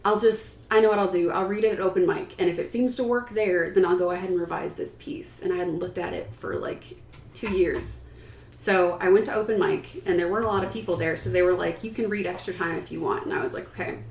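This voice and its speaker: An echoey room with some background noise surrounds a woman with a slightly low voice. She talks casually as a writer on a discussion panel, telling her own story in everyday spoken language. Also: fast, darkish tone.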